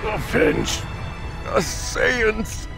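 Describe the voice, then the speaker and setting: A man's voice: sits high, big pitch swings, very slow, vocal fry, full character voice. An actor playing a fierce, vengeful Saiyan warrior man in an anime fighting game, with strained battle delivery. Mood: grim, vengeful. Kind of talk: anime video game voice acting. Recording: loud music behind.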